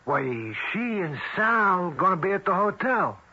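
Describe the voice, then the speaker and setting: An actor playing a menacing, bossy Italian-American mobster in an old-time radio drama, with streetwise slangy American speech. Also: male voice, high voice, big pitch swings, unhurried, bright, forceful tone, slightly rough, full character voice. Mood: commanding. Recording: muffled vintage radio broadcast.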